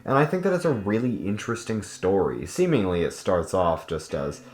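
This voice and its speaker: Deep-voiced man in an echoey room. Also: very animated, normal speed. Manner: informal, emphatic, repeats intensifiers, swears casually. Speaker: host of a video guide. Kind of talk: casual opinionated video essay commentary.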